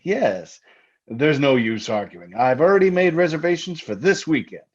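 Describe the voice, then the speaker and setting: A man's voice: fairly high pitch, big pitch swings, moderate pace, breathy edge. A man plays a solicitous husband in an old-time radio thriller. He soothes and patronizes with swooping intonation and an undercurrent of menace. The sound is slightly dull and lo-fi. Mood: quietly menacing.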